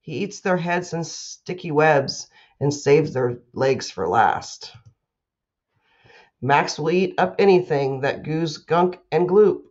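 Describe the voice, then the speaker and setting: Slow-paced woman, lo-fi sound. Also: low-pitched, expressive, darkish tone. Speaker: school principal. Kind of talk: children's read-aloud and announcements.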